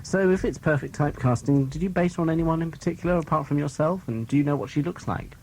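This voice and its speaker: A man's voice: fairly high pitch, fast talker, darkish tone, low-quality recording. A man interviewing people casually, with a teasing manner.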